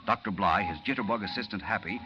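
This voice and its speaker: A man's voice: lowish voice, quick pace, loud music behind the voice, natural voice. Old-time radio announcer, male actor: solemn, dramatic narration, lo-fi sound, musical backing. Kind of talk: 1940s dramatic scripted narration.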